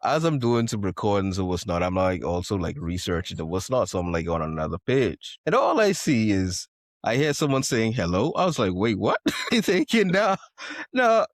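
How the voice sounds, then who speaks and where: Low-voiced casual male podcast host. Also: big pitch swings, moderate pace, no room sound, studio quality. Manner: rambling, heavy use of "like", laughs a lot.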